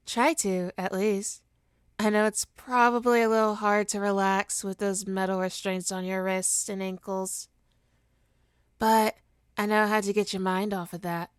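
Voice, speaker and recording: A woman's voice: mid-range voice, clear, resonant tone, no room sound, studio quality, close to an everyday voice. A woman playing a smug, teasing supervillain with hypnotic powers in an ASMR roleplay, taunting and theatrical in a condescending way. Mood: smug, playful. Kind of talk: ASMR roleplay monologue to listener.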